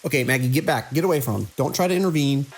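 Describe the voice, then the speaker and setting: A man playing a weary, conscientious hospital director in an audio drama, with a gravelly, husky voice. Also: mid-range voice, animated, very fast, very noisy, natural voice. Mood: alarmed. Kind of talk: audio drama acting, log dictation and urgent calls.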